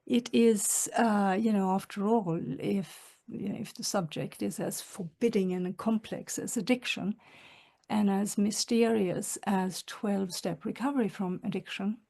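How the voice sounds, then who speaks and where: A woman from northern Sweden, an author giving a reflective webinar talk, soft-spoken and halting with many fillers, over a video call. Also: mid-range voice, fairly even intonation, creaky. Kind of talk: reflective lecture with read quotations.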